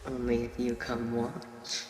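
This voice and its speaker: A woman's voice: extremely low pitch, level tone, slow pace, darkish tone, slight background noise. A woman playing a cocky, teasing troublemaker with a mocking, flirtatious manner in an audio roleplay. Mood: taunting. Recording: echoey, distant, crowd behind.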